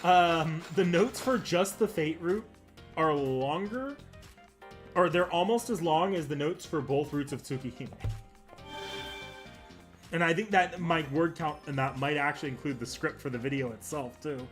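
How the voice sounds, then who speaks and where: Higher-pitched man rambling over music. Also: a little slow. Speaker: game streamer and host. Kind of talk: casual gameplay commentary and chat.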